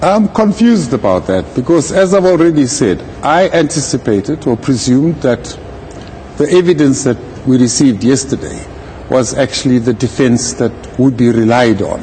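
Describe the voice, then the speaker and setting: A man speaking as a legal commentator in an interview, with a measured, deliberate manner and formal wording. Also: mid-range voice, animated, warm tone, slight background noise, rough recording. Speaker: legal commentator, retired judge. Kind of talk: live interview commentary on a court case.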